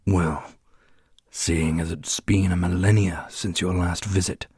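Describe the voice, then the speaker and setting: A man playing a teasing, tender dark prince with a breathy, intimate voice in an ASMR fantasy roleplay. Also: steady tone, a little slow, no echo, studio quality, heavily acted. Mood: romantic, teasing. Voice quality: low, breathy, whispery.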